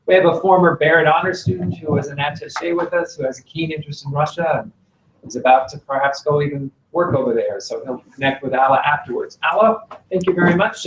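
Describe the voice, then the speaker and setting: A man moderating a panel and introducing speakers smoothly and formally. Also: a little high, a bit bright, slight background noise. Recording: distant mic in an echoey room.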